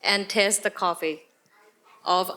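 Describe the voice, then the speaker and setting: Thai-accented woman on a panel, bright sharp voice, chatting casually. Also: mid-range voice, fairly even intonation, slow. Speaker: panel guest, general manager.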